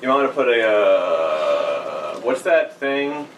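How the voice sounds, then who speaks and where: A man's voice: fairly low pitch, very animated, slow. A young man in casual joking banter on a podcast, crude and sardonic. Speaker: podcast regular. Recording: roomy, distant mic, noisy.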